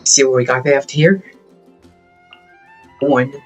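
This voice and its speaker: A man's voice: medium pitch, slow pace. Casual male game streamer over music. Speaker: game livestreamer. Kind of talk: casual gameplay commentary, muttering to self.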